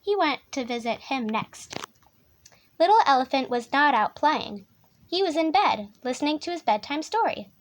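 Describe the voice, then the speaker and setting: A woman reading a children's picture book aloud in a soothing, expressive storytelling manner. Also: high voice, normal speed.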